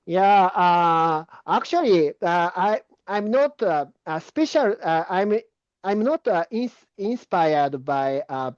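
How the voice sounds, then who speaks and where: A man with a Japanese accent, a guest answering interview questions in halting English full of fillers and restarts. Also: sits high, lively intonation, dry recording, dull audio. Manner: hesitant, many fillers and restarts.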